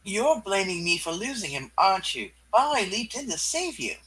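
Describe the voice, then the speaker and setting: Someone voicing a husky, sassy comic book heroine. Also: mid-range voice, very animated, normal speed, fairly bright tone, full character voice. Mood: wounded. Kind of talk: live comic book reading, puppet show.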